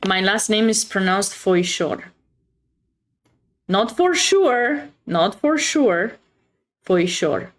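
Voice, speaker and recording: A woman's voice: mid-range voice, lively intonation, takes it slowly, long pauses. A woman with a Romanian accent hosting a chess livestream, chatting casually and expressively with viewers between bits of commentary.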